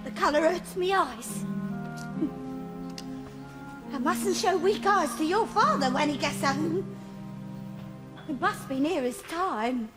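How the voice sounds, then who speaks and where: A grieving working-class mother, high and slow of voice. She is tender, wistful and quietly brave, in a radio play with prominent music. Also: animated. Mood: tender grief. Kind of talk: musical radio drama acting.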